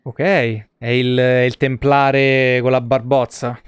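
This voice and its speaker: A man's voice: mid-range voice, flat delivery, takes it slowly, smooth, no echo, dull audio. A man in his thirties with an Italian accent doing casual, colloquial live gameplay commentary, swearing now and then. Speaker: video game streamer. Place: small indoor room.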